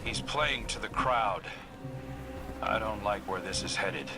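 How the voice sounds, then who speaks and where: A wary male ally in a video game. The voice is fairly low, with wry understatement. Loud music runs underneath. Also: slow pace, creaky. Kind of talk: video game character dialogue.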